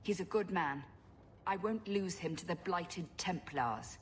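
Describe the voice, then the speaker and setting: A woman acting an earnest, guarded refugee woman in a fantasy game. She speaks in a lowish voice, protective of her own people, over faint background ambience. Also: unhurried, a little hiss or hum, natural voice. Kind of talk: video game drama acting.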